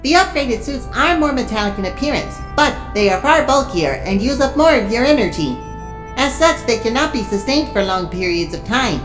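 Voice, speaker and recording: Woman as a high, precise robot assistant. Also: sing-song, moderate pace. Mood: earnest briefing. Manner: precise, explanatory, formal. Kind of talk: superhero audio drama acting. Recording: echoey room with music behind.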